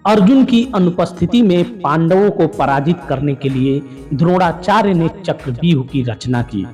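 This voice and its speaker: Male storyteller over soft music. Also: on the high side. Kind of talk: scripted mythological narration.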